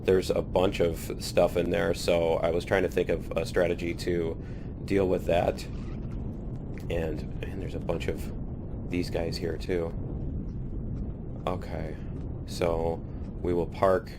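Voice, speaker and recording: A man's voice: sits low, little pitch movement, takes it slowly, long pauses, background noise. A man with a cold chatting casually while playing a video game, self-deprecating and laughing at his own mistakes. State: has a cold. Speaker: gaming YouTuber. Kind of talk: casual let's-play commentary.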